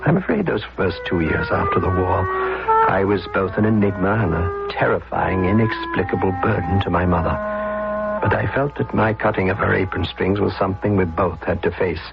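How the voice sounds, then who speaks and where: An actor playing a wry, breezy, rebellious upper-class son who narrates and banters in a period radio drama. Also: male voice, sits low, normal speed, gravelly. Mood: reflective, wry. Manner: wry, playful, wordy banter. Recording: dull old radio recording with music.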